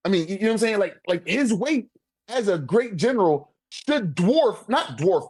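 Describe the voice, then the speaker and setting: A man with a high-pitched voice debates in a loose, conversational way. His talk is thick with slang and swearing, and he keeps circling back to check that he is understood. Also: rough recording. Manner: slangy, profane, repeats "you know what I'm saying". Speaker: debater on a manga ranking podcast. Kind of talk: casual debate chat.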